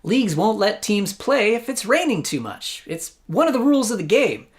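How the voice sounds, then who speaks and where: A man's voice: high voice, big pitch swings, normal speed. An American man hosting a satirical news show, delivering scripted comedic commentary in a sarcastic, mock-incredulous way.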